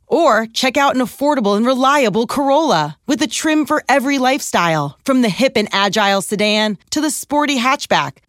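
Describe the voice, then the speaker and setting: Higher-pitched woman reading a polished ad. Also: dry recording, studio quality. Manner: polished, promotional. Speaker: commercial announcer. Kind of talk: scripted car ad read.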